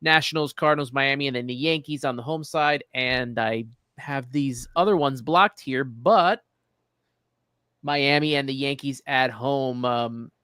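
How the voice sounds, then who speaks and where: A man hosting a casual sports interview, speaking conversationally with somewhat halting phrasing. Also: mid-range voice, bright, forceful tone, clear, resonant tone, dry recording, studio quality. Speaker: sports show host, interviewer.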